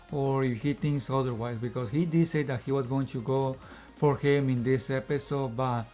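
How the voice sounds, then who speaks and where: A man casually reviewing an anime episode in one unscripted take, slightly out of breath and rambling, filling pauses with "you know" and "I guess you can say.". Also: medium pitch, darkish tone.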